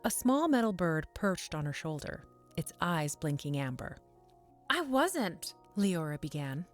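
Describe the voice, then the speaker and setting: Low-voiced woman narrating fantasy. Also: expressive, normal speed, faint music behind. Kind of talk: scripted fantasy story narration.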